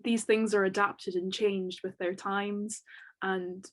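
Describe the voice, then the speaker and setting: A woman's voice: mid-range voice, level tone, slow pace. Young woman from Northern Ireland with an English accent, informal panel answers, lots of fillers. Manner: chatty, many fillers, laughs easily. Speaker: doctoral student speaker. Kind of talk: academic panel Q&A.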